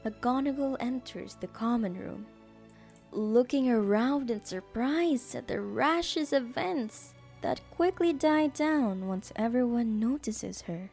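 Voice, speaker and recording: Mellow lady narrating a story, takes her time, bit of music behind. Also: a little high, slow, vocal fry.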